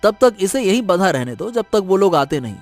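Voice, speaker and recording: A man's voice: high-pitched, sing-song, brisk, faint music behind. A man playing earnest villagers and soldiers in a moral-story cartoon. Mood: brisk, official. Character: village men and a brisk palace soldier. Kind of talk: animated Hindi moral-story dramatization.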